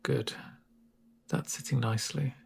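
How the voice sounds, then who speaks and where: A man playing a calm medic in a soft-spoken ASMR roleplay, gentle and reassuring with dry humour and a breathy, near-whispered voice. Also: medium pitch. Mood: soothing. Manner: gentle, unhurried, reassuring murmur.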